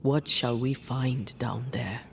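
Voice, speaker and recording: A woman playing a grave, resolute ghost investigator in a video game, speaking solemnly and deliberately. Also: rumbling low, fairly even intonation, vocal fry, slight background noise, full character voice. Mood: pensive. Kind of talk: video game drama acting.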